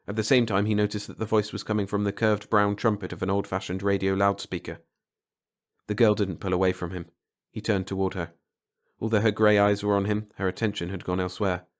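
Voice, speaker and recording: A man reading a short story aloud as a dramatic, scripted audiobook narration. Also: fairly low pitch.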